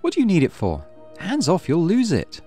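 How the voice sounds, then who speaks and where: A man voicing a stern mother who scolds her young son in a sharp, reproachful, threatening manner. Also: high-pitched, huge pitch swings, moderate pace, husky, soft background music, voice pushed up, heavily acted. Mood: angry, reproachful.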